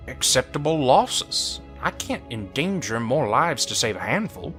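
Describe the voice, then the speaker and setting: A haughty military bureaucrat in an audio drama, cold and craven. His bright, tense voice swings widely in pitch, deliberate and dismissive, over background music. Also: sing-song. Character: cowardly, cold bureaucratic male supreme military leader. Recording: music under the voice.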